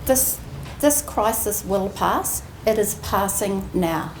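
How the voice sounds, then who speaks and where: A woman giving a prepared ceremony speech as an executive, measured and earnest with deliberate emphasis. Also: mid-range voice, expressive. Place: indoor ceremony room. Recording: roomy echoing venue, faint background noise.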